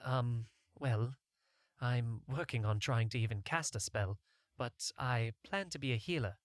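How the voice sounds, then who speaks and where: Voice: mid-range voice, a little slow, dry recording, clean studio sound, close to an everyday voice. A narrator voicing an earnest, impulsive young male novice healer in a fantasy game story. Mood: hesitant.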